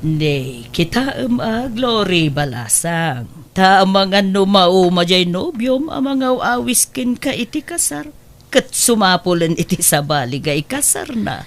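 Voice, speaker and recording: A woman's voice: mid-range voice, big pitch swings, a little slow, soft background music. A woman with an Ilocano accent playing a nosy, skeptical confidante who teases and advises in a comedy drama. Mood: advising. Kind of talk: comedy radio-style drama acting.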